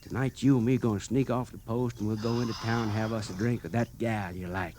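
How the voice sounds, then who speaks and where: A man's voice: fairly low pitch, steady tone, fairly quick, a little mellow, close to an everyday voice. Man acting a folksy, easygoing rural American cavalryman. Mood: worried, placating. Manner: folksy drawl, placating. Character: easygoing, cautious rustic cavalry private, a folksy peacemaker. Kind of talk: 1950s American Western radio acting. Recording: old radio recording, faint noise.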